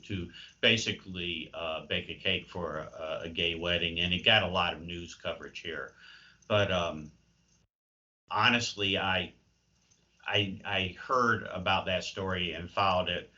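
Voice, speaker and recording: An American man giving unscripted interview answers as a guest, halting as he searches for words, over a muffled remote call. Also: low voice, pushed and bright. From United States.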